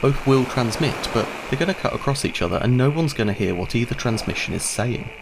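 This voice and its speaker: A man's voice: mid-range voice, lively intonation, a little fast, gravelly, background noise. A man giving a scripted instructional narration, explaining step by step in a conversational way.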